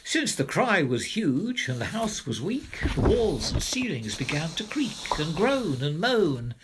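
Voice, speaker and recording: A man reading a rhyming children's story as narrator, with expressive, theatrical delivery. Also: on the high side, a little slow, very noisy. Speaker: narrator, reader of children's poems.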